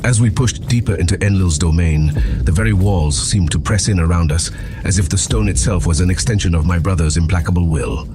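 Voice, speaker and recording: Really low male voice, grave dramatic audio drama narration, loud music under it. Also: brisk. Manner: grave, intense, theatrical. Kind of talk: dramatic scripted first-person narration.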